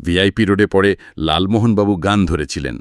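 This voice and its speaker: A man's voice: low voice, a little fast, dry recording, studio quality. A man narrating a detective audiobook expressively, acting out the dialogue as storyteller.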